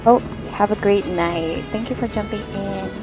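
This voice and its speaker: A woman livestreaming a story game, reacting casually in short, excited interjections. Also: medium pitch, normal speed. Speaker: streamer playing a story game. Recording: game music behind the voice.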